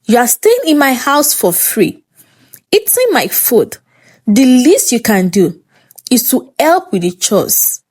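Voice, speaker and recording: Lady doing a bossy big sister from a folktale, nigerian accent, dismissive, voice kinda high, swoopy. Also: sing-song, slow pace, darkish tone, slightly rough, exaggerated voice. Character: selfish, unwelcoming older sisters, curt and bossy.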